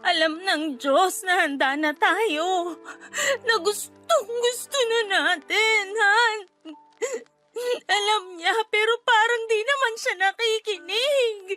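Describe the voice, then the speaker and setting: Tearful, very high-voiced young wife. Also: female voice, slow, bright, forceful tone, faint music behind, heavily acted. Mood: bitter, tearful. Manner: plaintive, pleading. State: tearful, crying. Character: despairing, tearful young wife longing for a child. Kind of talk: Tagalog radio drama acting.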